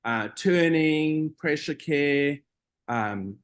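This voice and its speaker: A middle-aged man from South Africa, a nurse being interviewed, talking in a relaxed, meandering way with frequent pauses. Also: on the high side. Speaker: guest, operating room nurse. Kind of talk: casual interview conversation.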